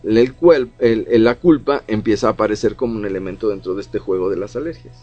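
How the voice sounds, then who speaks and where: A man's voice: fairly low pitch, a little fast, darkish tone, highs cut off, soft background music. A middle-aged man, co-hosting a casual radio talk, explaining at length with many fillers. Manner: explanatory, frequent fillers like "o sea" and "¿no?". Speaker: radio co-host. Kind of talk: casual radio chat on psychology.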